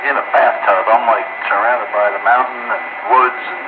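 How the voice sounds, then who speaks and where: A man's voice: medium pitch, steady tone, normal speed, echoey room. A man chatting casually and plainly over two-way radio as an operator, in a folksy way. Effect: two-way radio transmission. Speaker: amateur radio operator on air. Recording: muffled radio signal with loud static.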